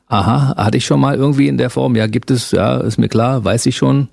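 Fast-talking gravelly male interviewer. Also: medium pitch, a little mellow, no room sound, studio quality. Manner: fast, eager, leading questions. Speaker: interviewer, host. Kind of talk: interview questions.

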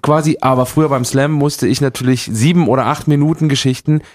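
A man in his thirties from Thuringia, interviewed as a poet, talks in quick bursts full of restarts and fillers. His pitch sits in an ordinary male middle range, and his German has no regional coloring. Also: fairly even intonation, a little mellow. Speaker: guest, performance poet. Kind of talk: casual interview chat.

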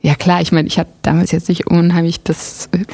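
Gravelly-voiced woman, relaxed and hesitant. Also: lowish voice, a little fast, no room sound. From Germany. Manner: relaxed, hesitant with frequent fillers. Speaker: musician guest. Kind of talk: casual interview talk.

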